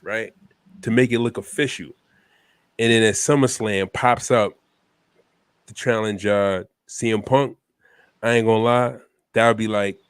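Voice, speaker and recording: A man's voice: lowish voice, no room sound. A man with a stuffy head cold hosting a laid-back wrestling talk show, tossing "man" into almost every line. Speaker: podcast host. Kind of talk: casual wrestling chat.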